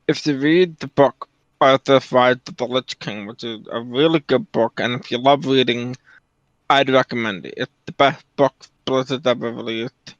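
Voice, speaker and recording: A man's voice: medium pitch, no room sound, lo-fi sound. A man giving casual, opinionated commentary as a guest on a stream.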